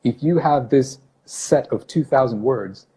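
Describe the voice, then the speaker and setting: A man from Brunei in his thirties talks loosely to his livestream viewers from a small room, filmed on a phone held at arm's length. His tone is warm and mellow, a little husky, and he often stops to correct himself. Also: medium pitch, unhurried, rough recording. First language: not English. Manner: chatty, self-correcting, greets viewers by name. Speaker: English teacher hosting a livestream. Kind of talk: casual livestream talk to viewers. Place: small office room indoors. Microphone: phone camera at arm's length.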